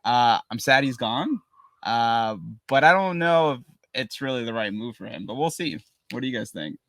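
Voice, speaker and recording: A man's voice: mid-range voice, animated, moderate pace, a bit bright, dry recording. A man co-hosting a casual soccer podcast from a small studio, sharing opinions he keeps hedging with "in my opinion". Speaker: podcast co-host. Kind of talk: casual sports chat.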